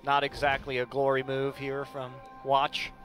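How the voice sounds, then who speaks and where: A man's voice: mid-range voice, monotone, unhurried, a bit bright, faint music behind. A man commentating on esports in casual banter, chuckling often and teasing drily.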